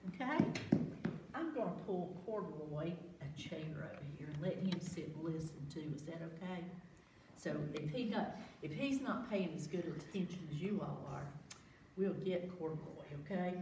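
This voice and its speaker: A woman hosting a read-aloud storytime for young children, asking questions and repeating words for them. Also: on the low side. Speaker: library storytime host. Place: echoing room with children present. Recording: roomy, distant mic with background noise.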